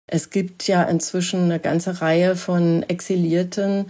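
A woman answers interview questions in a thoughtful, explanatory way. Her voice is lowish and mellow. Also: flat delivery, unhurried. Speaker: literary expert.

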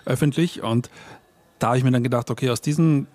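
A man answers questions on a festival stage. He talks at an easy middle pitch. He often stops, restarts and trails off while he gathers his thoughts. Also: fairly quick, a little mellow. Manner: thoughtful, searching for words, trailing off. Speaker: author and audio artist, guest. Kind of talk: reflective festival stage interview.

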